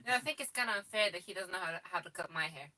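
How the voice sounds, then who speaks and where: Bulgarian-accented woman, bright, a bit distant. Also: fairly low pitch, animated. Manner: halting, many restarts and laughs. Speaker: co-host. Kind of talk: casual live stream chat.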